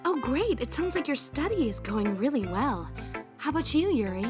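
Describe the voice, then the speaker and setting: A woman's voice: high voice, big pitch swings, normal speed, over music. A woman voicing a poised, caring teenage club president in a fan visual novel, articulate and confiding. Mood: bright, welcoming.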